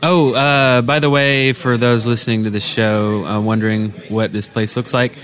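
A man's voice: mid-range voice, flat delivery, normal speed, a bit bright. A man hosting a podcast, speaking casually in a group chat. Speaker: podcast host. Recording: faint music in the background.

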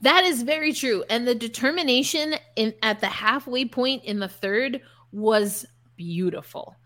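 A woman's voice: a little high, measured pace, a bit bright. A woman hosting a sports podcast in a conversational style, chatty and upbeat.

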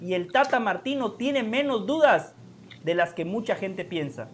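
Emphatic high-voiced male sports pundit. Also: lively intonation, moderate pace, slight background noise. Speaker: sports show panelist. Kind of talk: sports talk.

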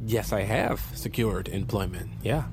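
An actor playing a shifty slacker who fibs in a hesitant, rambling, falsely sincere way, in a comedy audio drama. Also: male voice, lowish voice, measured pace, background noise, natural voice. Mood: nervous bluffing. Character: shifty, fast-fibbing young slacker dodging debt collector.

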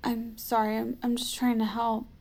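An actress playing a gentle, anxious female aide who soothes people in an audio drama. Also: a little high, level tone, moderate pace, natural voice. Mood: soothing, anxious.

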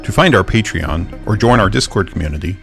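A low, gravelly man reads the podcast's opening announcements in a measured, formal way. Soft music plays under his voice. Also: animated. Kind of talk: scripted announcement.

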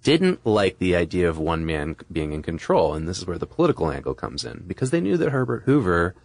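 Deep-voiced man with very lively intonation. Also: fairly quick, vocal fry, no room sound. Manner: informal, colloquial explaining. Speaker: podcast co-host. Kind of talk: casual conversational history podcast.

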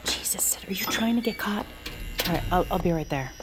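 A woman's voice: mid-range voice, expressive, fairly quick, background noise, lightly acted. A woman playing a protective, no-nonsense law enforcement sister in an audio drama, blunt and scolding, with an American accent. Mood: alarmed. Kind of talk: naturalistic audio drama acting.

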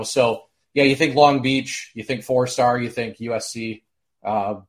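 Measured male recruiting analyst. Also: medium pitch. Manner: measured, hedging with "uh". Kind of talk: casual sports talk.

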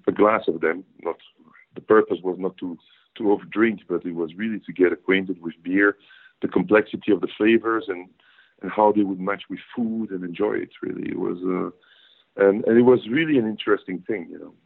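Over a thin, phone-like connection, a middle-aged Belgian man speaks in a fairly low voice. He explains things conversationally, circling back on phrases for emphasis as he answers an interviewer. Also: normal speed, gravelly. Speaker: interview guest, brewing and hops professional. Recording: tinny phone-like band-limited line.

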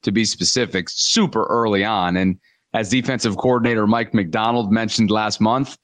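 A man's voice: lowish voice, steady tone, moderate pace, a bit bright, no room sound. A man co-hosting a sports talk show, speaking in a conversational, upbeat way. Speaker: sports podcast co-host.

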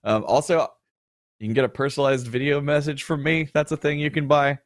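A man's voice: a little high, little pitch movement, brisk, no room sound, clean studio sound. A man in his thirties, a show co-host, chatting casually on a livestream with frequent fillers and laughs.

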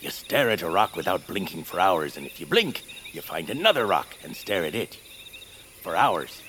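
A quirky wild man of the woods for an audio drama. His voice sits very low but leaps all over in pitch, blunt and theatrical, with needy deadpan turns. Faint crickets and forest ambience sit behind him. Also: normal speed, a little hiss or hum, exaggerated voice. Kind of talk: audio fiction acting.

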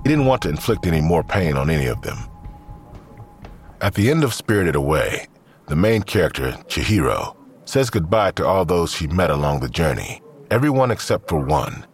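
Male narrator for a crime audio drama: very low register, animated contours, grave measured read, faint score. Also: faint music behind. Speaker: narrator of a fiction podcast.

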